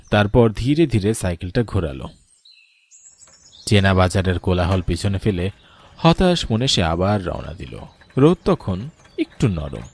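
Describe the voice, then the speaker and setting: A man's voice: low-pitched, a little mellow. A man reads a scripted ghost story as its narrator.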